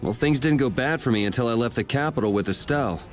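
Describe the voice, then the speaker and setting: A man's voice: medium pitch, fast talker, faint music behind, close to an everyday voice. A man playing a cocky, laid-back, wry young rebel swordsman in an anime video game. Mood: wry, irritated.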